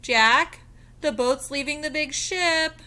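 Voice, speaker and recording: Woman doing a high, urgent little girl. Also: slow pace, heavily acted. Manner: urgent shouting. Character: bold shouting young girl adventurer.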